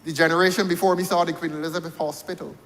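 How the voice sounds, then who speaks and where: A man's voice: a little high, level tone, rapid-fire, fairly bright tone, big-room sound. A Barbadian man giving a parliamentary debate speech, rhetorical, repeating key phrases for emphasis. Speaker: member of parliament. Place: parliament chamber.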